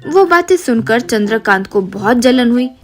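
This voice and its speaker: A woman narrates a children's moral tale. Her voice is fairly high and follows a scripted storytelling delivery, with light music behind it. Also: animated, moderate pace.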